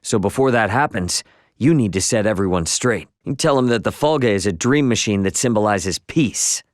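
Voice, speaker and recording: An actor playing an easygoing, cocky, good-natured young hero in a video game, talking in a casual, teasing, slangy way. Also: male voice, on the low side, lively intonation, moderate pace, no echo, studio quality, lightly acted. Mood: relaxed, earnest. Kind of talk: English video game dialogue acting.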